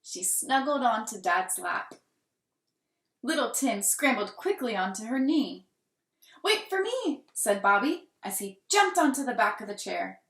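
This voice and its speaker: A woman reading a children's picture book aloud with deliberate, dramatic emphasis and expressive delivery. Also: a little high. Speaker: children's storybook reader. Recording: roomy, echoing room sound.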